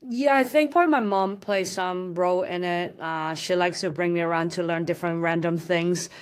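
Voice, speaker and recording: Lower-voiced woman from Hong Kong. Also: normal speed, clean tone. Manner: thoughtful, frequent fillers and restarts. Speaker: interview guest. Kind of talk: casual conversational interview.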